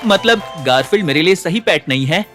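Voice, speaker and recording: A man's voice: sits high, expressive, brisk, fairly bright tone, soft background music. A man playing an earnest, exasperated, slightly whiny young pet owner in a dubbed children's cartoon. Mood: dismayed, sad.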